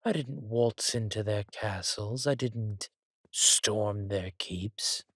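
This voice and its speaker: A man playing an arrogant, petulant villain king in an audio drama, with a drawling, theatrical, sardonic delivery and a breathy, husky voice. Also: on the low side, slow pace, fairly bright tone, no room sound, studio quality, heavily acted. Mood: petulant, indignant. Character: arrogant, petulant villain king, cruel yet doting on his consort.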